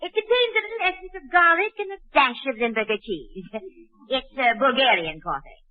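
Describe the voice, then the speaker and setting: A woman's voice: high-pitched, expressive, a little fast. An actress playing a fussy, chatty older landlady in a gushing, motherly way in a 1940s radio comedy. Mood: polite, fussy. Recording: narrow, tinny 1940s radio recording.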